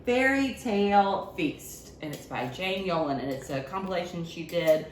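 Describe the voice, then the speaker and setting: Expressive woman hosting kids' stories, echoey room. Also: on the low side, moderate pace. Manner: expressive, talking to a small child with many simple questions. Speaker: library staff host of a children's story and recipe video. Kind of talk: casual storytelling and cooking demo with a child. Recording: roomy echo, clear background noise.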